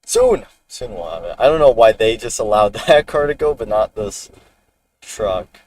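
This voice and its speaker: A man's voice: lowish voice, lively intonation, normal speed, a little mellow. A man chatting casually to a livestream while driving a car, rambling and talking to himself about the route and traffic. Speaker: storm chaser streaming live. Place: inside a car on the road. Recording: distant in-car mic with road noise.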